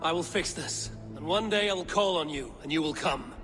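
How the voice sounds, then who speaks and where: An actor playing a steadfast, plain-spoken warrior in a video game, with a measured, resolute delivery. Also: male voice, on the high side, fairly bright tone, faint music behind, natural voice. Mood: stern resolve.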